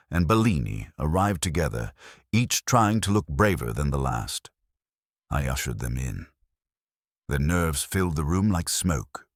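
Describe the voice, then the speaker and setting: A man narrates a detective story in a very deep, husky voice. His delivery is measured and dramatic, with a lot of rise and fall in a dry, close recording. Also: no room sound. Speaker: audiobook narrator telling the story as a detective's assistant. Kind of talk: first-person scripted detective story narration.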